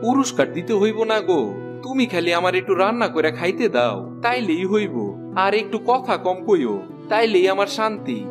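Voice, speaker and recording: An eager, good-natured village husband from a cartoon, with a high voice and a rural Bangladeshi accent. His talk is folksy and earnest. Music plays clearly behind him. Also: very animated, moderate pace. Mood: proud, playful. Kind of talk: Bengali cartoon fairy-tale acting.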